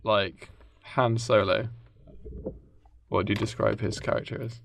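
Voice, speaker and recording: A man co-hosting a podcast, telling a story in a casual, jokey, halting way. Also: on the low side, level tone, creaky. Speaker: podcast co-host. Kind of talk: casual comedic storytelling chat.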